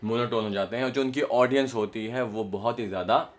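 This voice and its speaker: A man's voice: mid-range voice, steady tone, a little fast. Indian man teaching, emphatic delivery. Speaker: voice modulation coach. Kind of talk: instructional explanation to camera with demonstrations.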